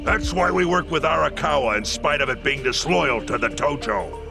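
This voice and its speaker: Bitter, gravelly yakuza subordinate. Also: male voice, on the high side, normal speed. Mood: bitter, indignant. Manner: profane, indignant. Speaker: yakuza gangster. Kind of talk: dramatic video game dub acting. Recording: music behind the voice.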